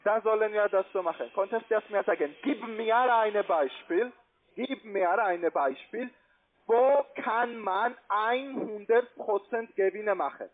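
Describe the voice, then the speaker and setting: A man with a foreign accent giving a pushy, repetitive phone sales pitch in halting non-native German. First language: not German. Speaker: call centre investment salesman. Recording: tinny band-limited phone line.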